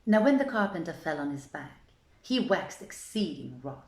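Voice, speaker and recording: A woman's voice: low voice, big pitch swings, normal speed. A woman reading an old tale as a storyteller, in theatrical, formal, archaic phrasing. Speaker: storyteller, reader. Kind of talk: scripted literary narration of an old tale. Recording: echoey, roomy sound.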